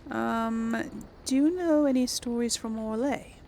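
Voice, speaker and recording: A woman's voice: on the high side, takes it slowly, creaky, darkish tone, a little hiss or hum. A woman hosting a casual game playthrough, chatting and thinking aloud hesitantly as she role-plays dialogue. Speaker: gaming host and role-player.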